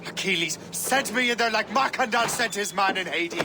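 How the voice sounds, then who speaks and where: A man's voice: high voice, fairly quick, background noise. An actor playing a young male assassin in a video game cutscene, intense and accusing, turning defiantly against his brotherhood. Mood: accusing, furious. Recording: loud music behind the voice.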